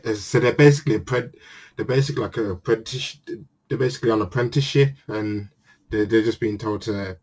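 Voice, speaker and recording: A man's voice: lowish voice, brisk, darkish tone, husky. A man hosting his own channel, reviewing a manga chapter in a chatty, earnest way and often restarting his phrases. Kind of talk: casual spoken review monologue.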